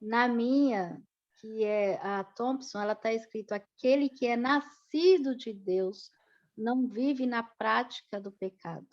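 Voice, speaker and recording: A woman, a student in a Bible class, reading a verse aloud slowly and carefully. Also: on the high side, dull audio.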